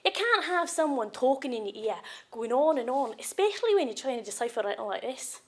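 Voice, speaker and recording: High-voiced woman acting a wounded, furious partner. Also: big pitch swings, brisk. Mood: bitter, exasperated. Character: wounded, angry woman raging at her abusive partner. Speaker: actress performing monologues. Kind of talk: acted audition monologue.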